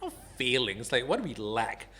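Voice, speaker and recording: A man's voice: medium pitch, big pitch swings, normal speed, crowd noise. A man from Malaysia hosting a casual podcast interview, asking questions and prompting the guest with a frequent "right?". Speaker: podcast host.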